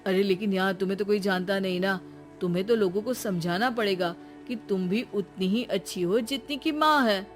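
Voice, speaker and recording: A woman voicing an easygoing, jobless young son in a cartoon story, speaking in a lowered voice. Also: moderate pace, faint music behind, lightly acted. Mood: offhand.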